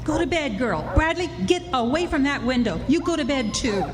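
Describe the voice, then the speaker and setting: A woman's voice: high voice, expressive, normal speed. A woman playing a firm, protective, folksy ranch mother in a live Western radio drama. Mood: scolding. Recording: very noisy live stage radio play.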